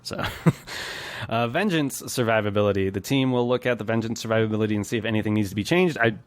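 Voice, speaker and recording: A man's voice: on the low side, quick pace, no room sound. A man hosting a casual gaming podcast, chatty and explanatory, with an easy laugh. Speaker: podcast host.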